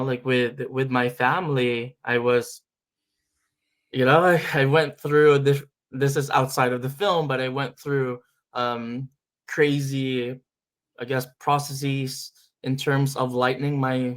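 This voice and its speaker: A man's voice: medium pitch, flat delivery, a little slow, fairly bright tone. A man from the Philippines, a filmmaker answering interview questions in a reflective way with many fillers and restarts. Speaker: filmmaker guest.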